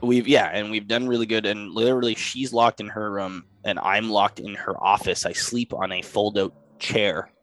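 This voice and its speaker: Man with a stuffy cold, ranting, low voice. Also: level tone, normal speed, few pauses, a bit bright, poor audio. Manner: ranting, exasperated. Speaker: podcast co-host. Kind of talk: casual chat.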